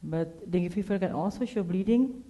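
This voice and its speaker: A woman with an Indonesian accent presents at a medical conference. Her voice is very soft-edged and dark, pitched a little low. She speaks halting English, piecing phrases together as a non-native speaker. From Indonesia, Java. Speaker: clinical pathologist, conference speaker.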